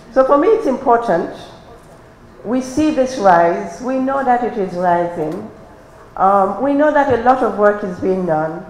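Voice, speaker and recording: A woman from Cameroon speaking unscripted at a workshop, earnest and passionate, with some fillers. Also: medium pitch. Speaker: workshop participant. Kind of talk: unscripted remarks. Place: conference hall. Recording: echoey conference hall, faint noise.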